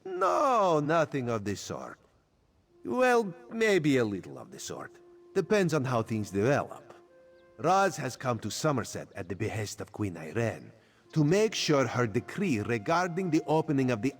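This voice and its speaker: Sly feline secret agent, playful and coaxing, hugely animated pitch, game dialogue over music. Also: male voice, full character voice. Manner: speaks of himself in third person, coaxing. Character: sly male cat-folk spy, playful agent of a queen. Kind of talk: video game character dialogue.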